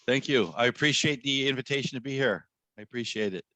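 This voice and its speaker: Man, from hawaii, middle-aged, guest comedian, dry self-mocking jokes, bright forceful voice, dry sound, video call. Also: medium pitch, fast talker, no room sound, low-quality recording. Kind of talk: casual podcast chat.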